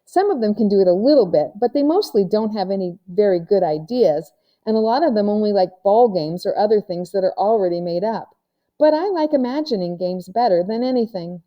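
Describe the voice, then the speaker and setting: A woman's voice: mid-range voice, animated, a little fast, highs cut off, lightly acted. A woman voicing an earnest, imaginative sixth-grade girl who explains things in a friendly way.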